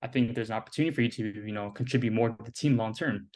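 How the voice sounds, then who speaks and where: A young American man in his twenties, a guest on an interview podcast, talking in a relaxed, casual way with lots of "like" and "you know". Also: medium pitch, very fast. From United States. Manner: relaxed, filler-heavy speech with many "like" and "you know".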